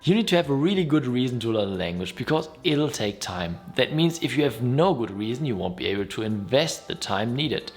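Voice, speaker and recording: A man's voice: medium pitch, big pitch swings, a little fast, few pauses, soft background music. Upbeat German-accented man hosting a vlog. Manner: friendly, upbeat, conversational. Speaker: YouTube host. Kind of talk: casual explainer vlog.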